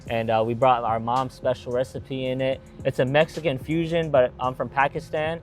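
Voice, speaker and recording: A young man from Atlanta talks through his family business in a relaxed, eager ramble, full of "like" and "you know". His voice sits at an ordinary middle pitch. Faint music plays under the conversation. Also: normal speed. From Atlanta, Georgia. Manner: eager, rambling storytelling with many fillers. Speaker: gas station and restaurant owner. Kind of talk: casual interview answers.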